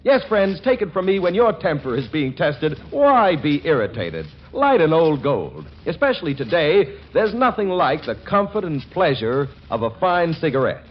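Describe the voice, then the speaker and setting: A man working as a commercial announcer on a 1940s radio show, pitching with comic bluster on a dull old recording. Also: sing-song, moderate pace, hardly any pauses, a little hiss or hum.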